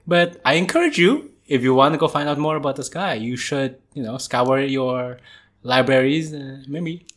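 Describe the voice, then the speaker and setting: An easygoing man co-hosting a podcast, speaking at a middle pitch. He is from Indonesia and chats casually, nudging listeners to dig further. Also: animated, moderate pace, a bit bright. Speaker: podcast co-host.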